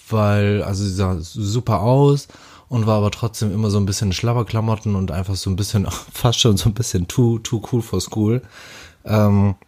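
Guy, 30s, soft warm baritone, easygoing talker. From Hamburg, Germany. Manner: relaxed, rambling with fillers and restarts. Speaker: interviewee. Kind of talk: casual storytelling.

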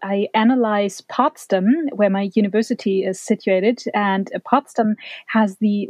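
Lady hosting a podcast, higher mellow voice, dry close sound, polite and keen. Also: dry recording. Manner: polite, enthusiastic interviewer. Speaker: podcast host, film studies academic. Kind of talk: interview conversation.

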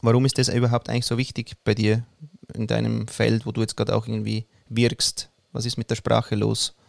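A man's voice: on the low side, monotone, brisk, vocal fry, no room sound. A man hosting an interview, asking loose, friendly questions in a casual way. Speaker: podcast host interviewer.

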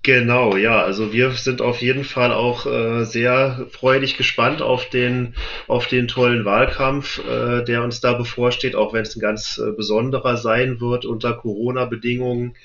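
A man with a mid-pitched voice hosts a casual interview. His phrasing is halting, with repeated words as he builds a question. The recording sounds a little dull and lo-fi. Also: level tone, a bit bright. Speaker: interview host.

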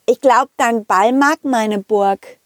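A woman's voice: fairly high pitch, slow pace, no echo, clean studio sound. A woman voicing a small, shy young boy who talks in a childlike, hesitant way with little stammers. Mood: eager.

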